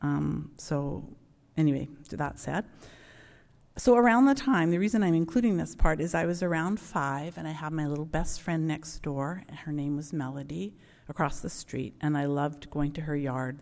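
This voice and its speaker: A woman's voice: deep voice, steady tone, moderate pace, gravelly, darkish tone. A middle-aged woman sharing her personal testimony in church, conversational and reflective with frequent ums. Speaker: church member giving testimony. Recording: long church reverb.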